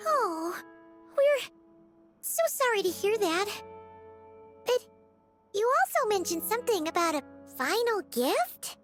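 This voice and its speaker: A woman voicing a tiny, childlike female companion creature in a video game, with a squeaky voice and an earnest, curious, questioning manner. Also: very animated, slow, pauses often, a bit bright, faint music behind, exaggerated voice. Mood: concerned. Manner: eager, wide-eyed, questioning. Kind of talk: scripted video game voice acting.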